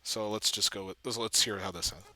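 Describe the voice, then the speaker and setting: A man giving a casual walkthrough as an amateur video editor, thinking aloud haltingly and trailing off. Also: on the low side, fairly even intonation, pushed and bright. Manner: halting, trailing off mid-thought.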